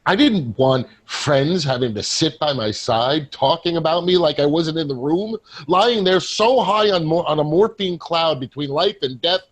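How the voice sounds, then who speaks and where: A man acting a husky, pleading dead salesman. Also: a little high, lively intonation. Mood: grim, confessional. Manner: earnest, halting, pleading. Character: desperate, pleading male ghost of a dead salesman. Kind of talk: staged play reading.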